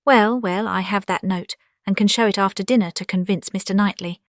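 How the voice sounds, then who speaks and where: A woman playing a confident, witty young gentlewoman in an audiobook drama, with a British accent and an expressive, self-assured delivery. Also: medium pitch, a little fast, dry recording, clean studio sound, natural voice. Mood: bright, insistent. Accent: British English. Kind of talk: dramatized classic novel audiobook.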